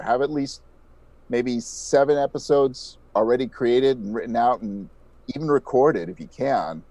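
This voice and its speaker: A man's voice: mid-range voice, mellow, no echo. A man speaking casually as an interview guest, a podcast creator giving his thoughts.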